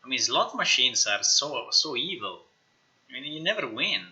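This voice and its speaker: Sharp-voiced man chatting on a livestream. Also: mid-range voice, normal speed, lo-fi sound. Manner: informal, excitable, repeats words and phrases. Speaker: live streamer, game developer. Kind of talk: casual livestream chat, thinking aloud while working.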